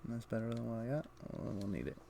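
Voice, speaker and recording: A man streaming a video game, thinking aloud in a low-key, conversational way with casual commentary. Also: big pitch swings, rapid-fire, creaky, mellow, a little hiss or hum. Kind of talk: casual live gameplay commentary and chat.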